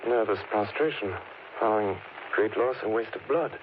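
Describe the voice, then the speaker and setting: An actor playing a sombre, shaken doctor with a dramatic, clipped delivery, in the manner of 1930s radio horror drama. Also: male voice, fairly low pitch, moderate pace, lightly acted. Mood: troubled. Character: sombre, shaken male doctor narrating his horror diary. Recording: tinny, noisy old radio broadcast recording.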